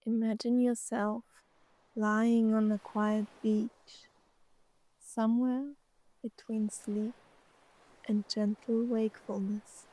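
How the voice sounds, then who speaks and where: A woman narrating a scripted guided meditation, gently soothing, speaking in short phrases with long pauses. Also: a little high, flat delivery, low-quality recording.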